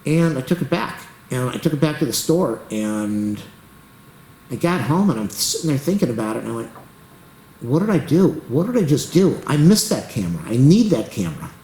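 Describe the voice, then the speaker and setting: A man's voice: medium pitch, big pitch swings, moderate pace, mellow. Man, tech tutorial host, rambling, voice worn and going, echoey room, faint hiss. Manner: rambling, talks to the camera while waiting. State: losing his voice, clearing his throat. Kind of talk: rambling casual screen-recording commentary.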